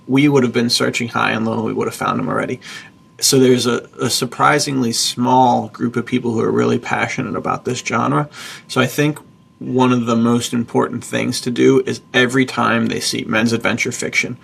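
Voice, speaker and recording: A man with a very gravelly, husky voice hosts a casual podcast. He talks informally and with emphasis, enthusiastic in his wording while his pitch stays fairly even. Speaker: podcast host, author and publisher.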